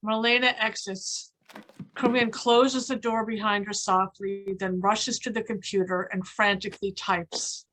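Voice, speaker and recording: A woman's voice: mid-range voice, little pitch movement, unhurried, fairly bright tone, poor audio. A woman reading stage directions as scripted narration for a play.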